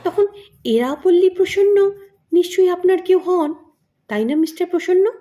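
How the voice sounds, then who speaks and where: A woman's voice: extremely high pitch, lively intonation, normal speed, warm tone, pitched above the performer's own voice. A woman voicing a curious, teasing young man in an audio story, with a direct, playful way of asking.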